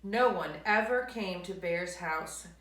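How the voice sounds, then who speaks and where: A woman storyteller reading aloud expressively to children, with dramatic emphasis, drawn-out words and hushed asides to her listeners. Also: lowish voice, slight background noise. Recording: echoey room.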